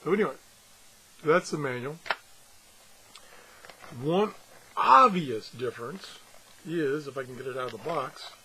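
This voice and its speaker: A man with a slightly husky voice gives a casual, unscripted hands-on review, pausing and thinking aloud as he goes. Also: fairly high pitch, expressive, sharp tone, a little hiss or hum, rough recording. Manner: halting, thinking aloud as he handles things. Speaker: gadget reviewer and video host.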